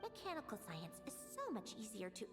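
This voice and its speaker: An actress playing a logical, self-assured young female scholar-artificer in a video game, talking in a brisk, lecturing way. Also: on the high side, wildly swooping pitch. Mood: puzzled. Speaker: game character. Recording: game audio with music underneath.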